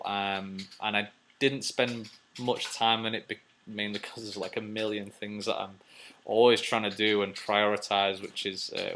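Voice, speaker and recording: Bright, forceful low-voiced man thinking aloud. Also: slight background noise. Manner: rambling, thinking aloud, repeats phrases. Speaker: video host answering viewer questions. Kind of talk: casual unscripted advice talk.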